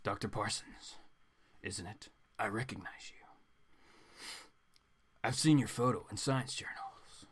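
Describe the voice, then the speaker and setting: A man voicing a quiet, commanding dark vigilante hero in a hushed half-whisper, reading a comic book dramatically. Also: lowish voice, slow pace, pauses often, husky, pitched below the performer's own voice, exaggerated voice. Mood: quiet menace.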